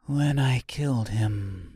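A man voicing a wicked witch in the shape of an eerie girl, speaking with precise diction and calm, drawn-out menace in a storybook reading. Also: mid-range voice, gravelly, slightly rough, no echo, studio quality, heavily acted. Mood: calm, cold.

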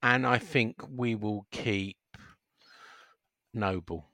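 A man co-hosting a casual podcast, hesitant and quick to laugh. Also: fairly low pitch, bright, forceful tone, dry recording, studio quality. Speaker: podcast co-host. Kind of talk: casual banter.

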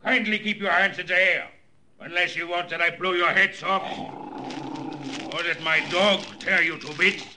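An actor playing a menacing farmer with a German accent, barking orders in stilted formal English in old radio-drama style. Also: male voice, high-pitched, fairly even intonation, normal speed, pushed and bright. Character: menacing German farmer holding captives at gunpoint. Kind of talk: 1950s radio drama acting.

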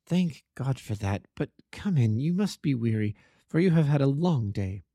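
Anxious, pleading Victorian wife read by a man, lifted high, swinging pitch, dry close sound. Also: lively intonation, moderate pace, warm tone, dry recording.

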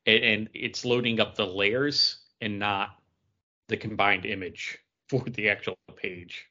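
A man's voice: lowish voice, fairly even intonation, measured pace, pushed and bright, lo-fi sound. A man co-hosting a podcast, explaining things in casual conversation. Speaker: podcast co-host.

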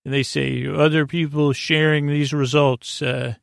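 A man hosting a bedtime podcast, rambling whimsically in a hesitant, halting way, with stammers and trailing tangents. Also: a little high, level tone, vocal fry, no room sound, clean studio sound. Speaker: podcast host.

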